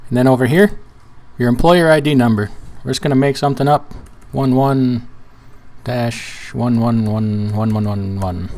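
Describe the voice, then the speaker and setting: Hoarse man explaining a tax form. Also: mid-range voice. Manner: casual, rambling with restarts and fillers. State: hoarse, weak voice. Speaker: trucking channel host. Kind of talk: screen-share tutorial walkthrough.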